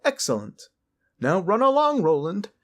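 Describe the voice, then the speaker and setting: A man's voice: sits high, huge pitch swings, measured pace, pitched above the performer's own voice, full character voice. A man voicing a polite, scheming lich necromancer in a fantasy audio roleplay, genteel yet commanding and wheedling. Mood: sly, coaxing.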